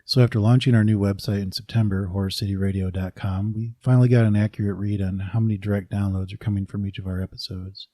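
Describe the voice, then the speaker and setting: A male podcast host with a low, relaxed voice. He delivers a conversational announcement in a dry recording. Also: fast talker, few pauses, no echo.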